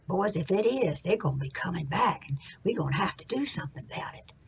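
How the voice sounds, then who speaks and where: A woman's voice: lowish voice, lively intonation, a little fast, gravelly, dull audio, a little hiss or hum. A woman voicing a clever, bossy boy hero in a folktale, with a coaxing, conspiratorial manner. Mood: hushed, scheming.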